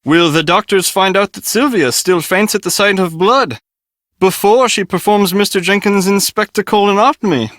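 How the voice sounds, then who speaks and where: A man's voice: high-pitched, normal speed, a bit bright, dry recording, clean studio sound. A man playing a melodramatic, teasing soap opera announcer in a TV promo within a game.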